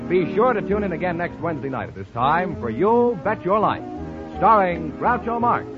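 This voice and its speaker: Lively high-pitched male vintage radio announcer. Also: normal speed, over music. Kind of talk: 1950s radio announcing, scripted. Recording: dull vintage radio broadcast recording.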